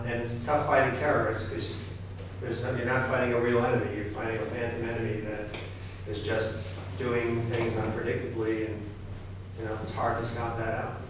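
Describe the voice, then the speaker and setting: Middle-aged American male consultant, even delivery, distant mic, audible room noise. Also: medium pitch. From United States. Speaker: political consultant. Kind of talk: panel discussion answering questions. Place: university lecture hall. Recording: echoey lecture hall from far off.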